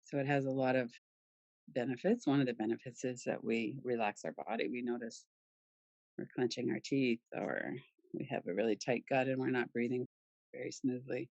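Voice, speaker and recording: Female meditation guide, soft-edged dark tone, close dry sound, soothing delivery with pauses. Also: a bass voice, steady tone, dry recording, muffled recording. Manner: soothing, gentle, unhurried with pauses. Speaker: yoga nidra meditation guide.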